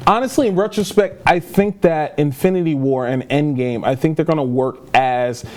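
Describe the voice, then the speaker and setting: Man, fairly high voice, casual podcast co-host, rambling talk, repeats for emphasis. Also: normal speed. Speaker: podcast co-host reviewing films. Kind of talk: casual chat.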